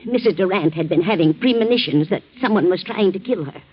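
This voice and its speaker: An actress playing an anxious, loyal female servant in a 1940s radio drama, husky and hesitant, on a muffled old recording. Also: lowish voice, animated, brisk. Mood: frightened, upset. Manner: hesitant, deferential.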